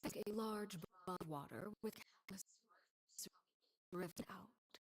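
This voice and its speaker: A woman voicing a composed, elegant female diplomat in a video game, speaking in a measured, formal way with quiet menace. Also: mid-range voice, gravelly, breathy edge. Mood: reflective. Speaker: game character.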